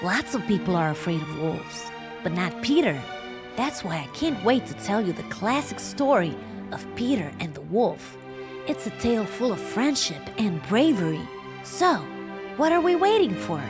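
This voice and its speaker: A woman narrating a children's bedtime story, telling it warmly and addressing the listeners directly. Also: medium pitch, very animated, moderate pace. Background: music.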